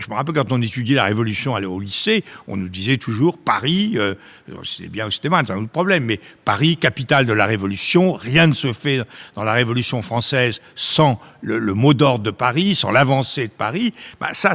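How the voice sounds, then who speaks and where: A man of medium pitch debates as a panel guest in a space with long reverb. His intonation moves widely, and he often repeats small words while building his argument. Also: lively intonation, a little fast, fairly bright tone. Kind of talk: animated intellectual panel discussion.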